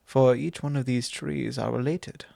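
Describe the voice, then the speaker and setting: A man playing a courtly, fond vampire lord in an intimate ASMR roleplay, speaking formally and unhurriedly with pauses. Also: medium pitch, steady tone, creaky, darkish tone, dry recording, clean studio sound. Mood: gentle, earnest. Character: courtly possessive male vampire lord, long-lived, fond and wistful. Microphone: close microphone, dry.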